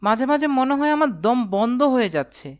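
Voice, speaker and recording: A woman's voice: high voice, fast, clean tone, natural voice. A woman voicing an earnest, lovesick and confused young man in a cartoon romance. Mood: sad, earnest. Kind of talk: animated romantic drama dialogue.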